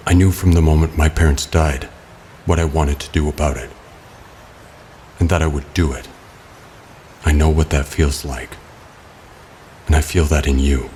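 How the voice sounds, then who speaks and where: An actor playing a stern, brooding, protective masked vigilante in an audio drama, speaking in a terse, controlled, grave way with music behind him. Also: male voice, a bass voice, darkish tone, a little hiss or hum. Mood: grave, sincere.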